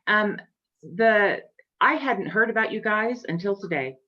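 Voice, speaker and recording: A woman on a video call, asking about the software with eager but hesitant starts. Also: medium pitch, lively intonation, measured pace, dull audio. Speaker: church participant in a video call.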